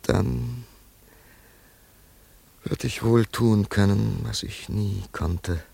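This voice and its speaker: An actor playing a wealthy, grieving older father in a German radio play, brooding and yearning in a halting monologue. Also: fairly low pitch, gravelly, warm tone, slightly rough, no echo, heavily acted. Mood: tender, mournful. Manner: slow, halting, brooding delivery with sudden swells.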